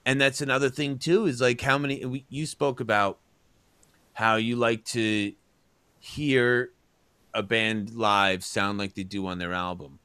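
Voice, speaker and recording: A man's voice: mid-range voice, fairly even intonation, a little slow, sharp tone, clear, resonant tone, no echo. A man co-hosting a casual talk show, rambling and chatty with lots of fillers. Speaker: podcast co-host. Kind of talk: casual chat.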